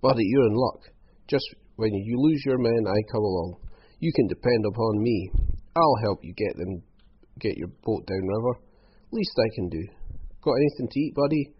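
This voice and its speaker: A man voicing a cringing, wheedling villain in a storybook reading, with whiny, slangy, croaky speech. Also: fairly low pitch, lively intonation, normal speed, lo-fi sound. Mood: ingratiating. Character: whining, cringing, wheedling villainous man, rough gang boss.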